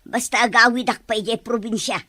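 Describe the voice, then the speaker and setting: A woman's voice: a little high, steady tone, a little fast, close to an everyday voice. A woman playing a humble older household helper in an audio drama, deferential in manner, with an Ilocano accent. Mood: evasive. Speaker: drama performer.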